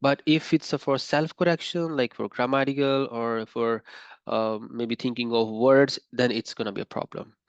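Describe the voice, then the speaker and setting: A man's voice: medium pitch, fairly even intonation, normal speed, clean tone, no room sound. A man with a Pakistani English accent teaching an online IELTS class, explaining with examples and fillers over a video call. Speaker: IELTS instructor. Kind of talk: online webinar lesson. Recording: dull lo-fi video call audio.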